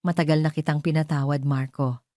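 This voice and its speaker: A woman voicing a composed, self-possessed heroine with a calm, firm manner in an audio story. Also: sits low, fairly quick, no echo, studio quality, close to an everyday voice. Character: composed, self-possessed woman forgiving her former love.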